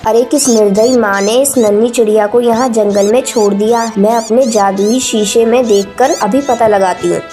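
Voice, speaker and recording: Cartoon forest sorceress, protective and indignant, played by a woman; high, declamatory voice, music underneath. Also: normal speed, few pauses, mellow, lightly acted. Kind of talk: Hindi cartoon dialogue. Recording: music behind the voice. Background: background music.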